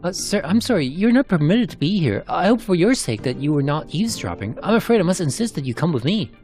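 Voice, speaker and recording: A man's voice: a little high, sing-song, quick pace, darkish tone, soft background music, pitched above the performer's own voice. A man voicing a polite but insistent official in a fantasy game story. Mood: officious, insistent. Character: stern, insistent official confronting an intruder. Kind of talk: narrated game cutscene character.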